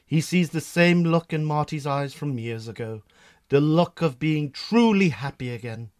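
A man's voice: fairly high pitch, expressive, measured pace. A man narrating a scripted radio drama in a theatrical storytelling manner.

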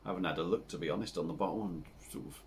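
A man in his forties with an English accent, chatting casually to camera as he reviews a beer at his home bar, rambling and relaxed with lots of fillers. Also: low-pitched, racing, vocal fry, a little hiss or hum. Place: home bar room with dartboard and signs.

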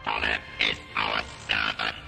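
A man voicing a cold, domineering alien machine in a science-fiction audio drama, croaking slow commands through an electronic robot effect. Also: a bass voice, over loud music, heavily acted. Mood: cold, commanding. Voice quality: croaking, grating mechanical monotone. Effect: electronic robot voice modulation.

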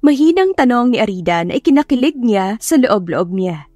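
Woman, Filipino accent, dramatic audiobook narration, higher-pitched, dry close recording, background music. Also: big pitch swings, a little fast, dark tone, no room sound. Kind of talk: scripted dramatic story narration.

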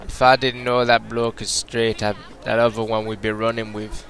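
A man's voice: fairly low pitch, little pitch movement, normal speed, a bit bright. Joking young man doing mock-patois commentary. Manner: joking, rambling, puts on a mock Jamaican accent. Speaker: gameplay commentator. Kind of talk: casual let's-play commentary.

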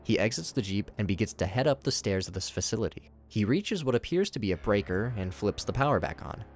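A man's voice: on the low side, animated, fairly quick. A man giving a scripted narration that recaps horror footage in a casual, wry way. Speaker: narrator of a video commentary.

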